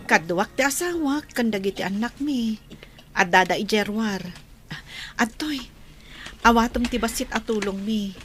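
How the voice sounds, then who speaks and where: A woman's voice: a little high, unhurried, pauses often, fairly bright tone. A woman with an Ilocano accent playing a sympathetic, solemn neighbour in a radio drama, speaking with earnest consolation. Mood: apologetic condolence.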